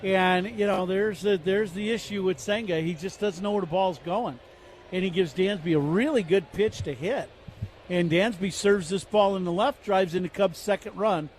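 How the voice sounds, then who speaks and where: A man with a notably high voice breaking down pitches and strategy as a radio color commentator. He talks in a conversational, analytical way and leans hard on his key points. Faint noise runs under him. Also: moderate pace.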